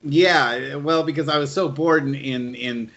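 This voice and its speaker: A man's voice: a little high, unhurried, creaky, a bit bright. Male podcast co-host, slightly muffled audio. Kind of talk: casual chat. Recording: dull lo-fi call audio.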